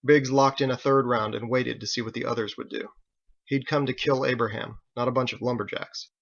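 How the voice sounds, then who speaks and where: Guy reading a dramatic novel, gravelly edge, kinda lo-fi sound. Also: mid-range voice, steady tone, a little fast, a bit bright. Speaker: author reading own novel. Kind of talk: dramatic audiobook narration.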